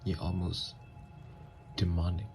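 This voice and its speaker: A man playing an anguished, newly turned vampire in an intimate ASMR roleplay, speaking in a halting, hushed, gravelly voice. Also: low-pitched, little pitch movement, over music. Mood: despairing. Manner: halting, hushed, breathy delivery with long pauses.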